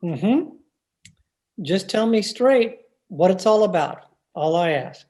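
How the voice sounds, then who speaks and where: An actor playing a laconic, skeptical police detective lieutenant in a radio drama, terse and unhurried. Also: medium pitch, animated, a little mellow. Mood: accommodating, laconic. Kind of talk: old-time radio drama re-creation.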